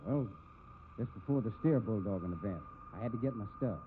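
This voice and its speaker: An actor playing a hot-tempered, cornered cowboy with a gun in 1950s radio drama style, blustering in folksy American speech. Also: male voice, fairly low pitch, lively intonation, fairly quick, close to an everyday voice. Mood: grim, sardonic. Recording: muffled, noisy 1950s radio recording.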